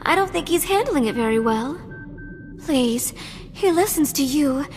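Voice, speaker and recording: A woman's voice: sits high, lively intonation, a little slow. An actress playing a devoted, sweet and flirty female companion in a video game, with a cutesy, coaxing manner. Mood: worried. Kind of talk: video game cutscene acting. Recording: long reverb, music underneath.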